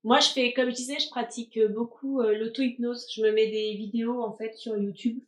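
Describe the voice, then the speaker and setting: A woman's voice: a little high, fairly even intonation, brisk, reverberant. A woman, an artist hosting her live stream, chatting casually with frequent fillers.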